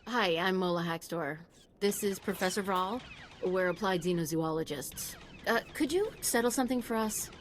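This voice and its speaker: A woman's voice: fairly low pitch, moderate pace, a little hiss or hum, lightly acted. A woman playing an eager, nerdy scientist in a video game, precise and absorbed. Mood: eager, intent. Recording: game music underneath.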